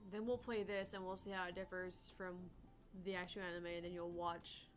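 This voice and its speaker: A woman's voice: fairly low pitch, flat delivery, normal speed, faint music behind. A woman co-hosting a gaming show, chatting casually about the game. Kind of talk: casual chat commentary.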